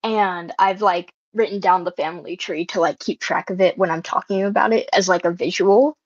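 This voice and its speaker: A woman panelist chatting casually and haltingly, thinking aloud as she goes. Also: lowish voice, steady tone, no echo. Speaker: panelist, writer. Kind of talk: casual chat. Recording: dull, lo-fi voice chat audio.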